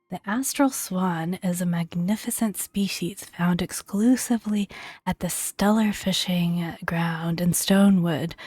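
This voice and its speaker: Woman doing gentle asmr game commentary, super slow n breathy, almost whispering, bit of room echo. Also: lowish voice. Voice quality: soft, breathy, near-whisper. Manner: gentle, unhurried, murmuring. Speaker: video game content creator.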